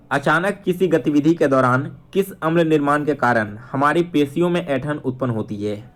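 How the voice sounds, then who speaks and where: Higher-pitched male exam tutor. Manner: instructional, addresses viewers directly with frequent check-ins. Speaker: exam-prep teacher. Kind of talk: explanatory quiz lesson, reading questions and explaining answers.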